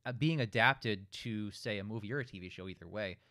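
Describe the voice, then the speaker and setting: A man's voice: fairly low pitch, a little fast, pushed and bright, no echo, studio quality. A man co-hosting a casual, opinionated discussion, arguing his points and swearing freely. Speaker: podcast co-host.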